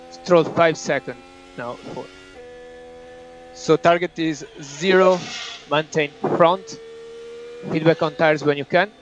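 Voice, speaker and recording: A man working as a race engineer, giving clipped instructions and countdowns to a driver over team radio. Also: fairly high pitch. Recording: dull noisy motorsport radio link.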